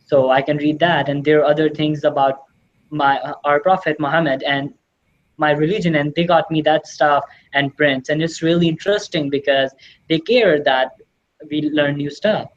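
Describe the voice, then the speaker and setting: A man's voice: on the high side, steady tone, moderate pace, highs cut off. Earnest young man from Pakistan. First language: Urdu. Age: teens. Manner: earnest, enthusiastic, repeats "really" often. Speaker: exchange student guest. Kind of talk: casual interview answers.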